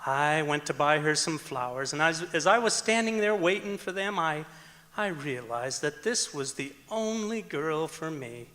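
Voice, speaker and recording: A man plays an excitable comedy sidekick, somewhat high and bright in voice. He is earnest and emphatic in old radio style, with long hall reverb. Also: unhurried. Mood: lovestruck, eager. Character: excitable comic male friend in a radio comedy. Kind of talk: old-time radio comedy acting on stage. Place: large auditorium stage, live performance. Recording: echoey auditorium stage recording.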